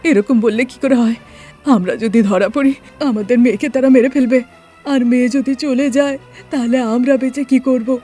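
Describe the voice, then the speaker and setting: Cartoon mother female performer: young, devout, protective, pleading, higher register. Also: moderate pace, mellow, soft background music, close to an everyday voice. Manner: pleading, emotional.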